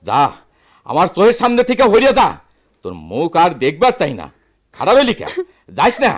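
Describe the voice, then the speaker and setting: Poor bangladeshi village dad, rural accent, high emotional voice that swings a lot, radio play. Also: male voice, huge pitch swings, brisk, heavily acted. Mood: angry despair. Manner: emotional, lamenting rustic speech. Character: anguished poor village father, bitter and tender. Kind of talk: radio drama acting.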